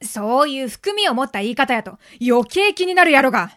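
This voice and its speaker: High-pitched brash Kansai woman, voiced by an actress. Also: big pitch swings, fairly quick, fairly bright tone. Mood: brash, teasing. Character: blunt, teasing, brash Kansai-dialect woman, Rune. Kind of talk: audio drama acting. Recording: music under the voice.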